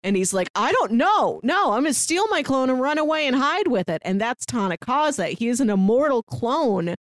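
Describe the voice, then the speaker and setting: A woman co-hosting a podcast, chatting casually and retelling stories in an animated way. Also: high voice, normal speed, no room sound, studio quality. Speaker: podcast co-host. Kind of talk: casual book discussion.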